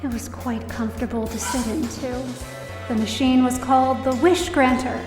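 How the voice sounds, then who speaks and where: A woman's voice: sits high, fairly even intonation, moderate pace, a little mellow, reverberant, recorded from a distance, soft background music, lightly acted. A woman playing a down-on-her-luck, distressed young woman narrating her own story in an audio drama. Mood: hesitant, curious. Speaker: lead actor in audio drama. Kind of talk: first-person dramatic narration.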